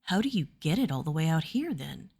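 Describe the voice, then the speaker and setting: A woman plays a young warrior man in a fantasy audio story. His voice is low and quiet, with a tired, steady kindness and an unhurried way of speaking. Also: creaky, slightly rough, heavily acted. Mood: curious.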